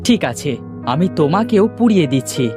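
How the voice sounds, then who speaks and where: A woman voicing a brave, earnest young male hero in a cartoon fairy tale, with expressive delivery. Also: low-pitched, moderate pace, music in the background. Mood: reluctant, sincere.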